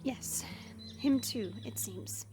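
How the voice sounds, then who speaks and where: A woman's voice: fairly high pitch, takes it slowly, music in the background. A woman playing a proud young dragon princess, formal, commanding and resolute, in a fantasy audio drama.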